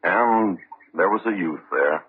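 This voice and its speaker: An actor as a solemn, wry crime-story narrator, reading scripted old-time radio narration in a measured way. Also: male voice, deep voice, lively intonation, a little hiss or hum. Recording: muffled old radio broadcast recording.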